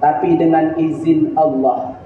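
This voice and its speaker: A man's voice: on the high side, flat delivery, a little slow, a little hiss or hum. A man in his thirties giving a Friday sermon, telling a story with earnest, rising emphasis, recorded on a webcam. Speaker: Friday preacher. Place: room in front of a green-screen backdrop. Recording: distant, very roomy, dull lo-fi webcam sound.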